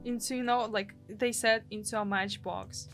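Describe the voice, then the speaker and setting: A woman's voice: mid-range voice, steady tone, moderate pace, over music, close to an everyday voice. A woman with a Russian accent voicing a tired, protective young boy while reading a game aloud. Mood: reassuring.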